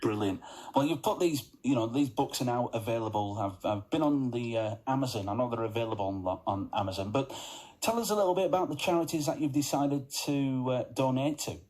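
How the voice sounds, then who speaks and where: A man's voice: mid-range voice, brisk, a little mellow, rough recording. A man presenting a local drive-time radio show, interviewing a guest in an upbeat way with small hesitations.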